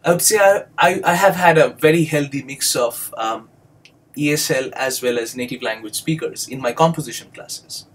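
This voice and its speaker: A young man from India with an Indian English accent, a native Bengali speaker, giving a measured recorded monologue as an instructor, often pausing with um and uh. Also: medium pitch. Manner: measured and explanatory, many ums and uhs. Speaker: doctoral student and instructor.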